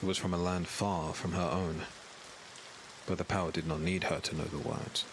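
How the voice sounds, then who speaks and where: A man narrating a scripted dark fantasy audiobook in a grave, dramatic storytelling manner. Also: deep voice, level tone, moderate pace, background noise.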